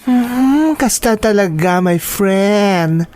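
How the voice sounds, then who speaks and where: A woman with an Ilocano accent playing a breezy, chummy young student friend in a radio drama, expressive and drawing out her words. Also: mid-range voice, extremely slow, dry recording. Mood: consoling.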